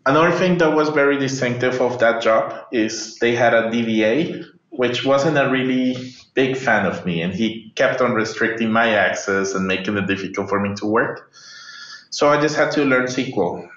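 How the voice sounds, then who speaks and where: Male guest, mid pitch, roomy dull remote sound. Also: moderate pace, fairly bright tone. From Colombia. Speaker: dashboarding specialist guest. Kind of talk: casual interview answers.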